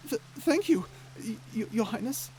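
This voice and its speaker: A performer voicing a shy, gentle and curious octopus traveller in an ASMR story roleplay, timid and stammering. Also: medium pitch, expressive, normal speed, slight background noise, close to an everyday voice, rough recording. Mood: nervous, startled.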